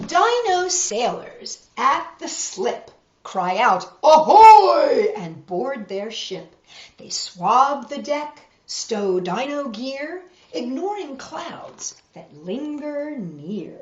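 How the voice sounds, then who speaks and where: A woman reading a picture book aloud to children in a measured, expressive way, with emphasized rhymes and friendly asides. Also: high-pitched. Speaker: storytime host reading picture books. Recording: roomy echo, slightly dull sound.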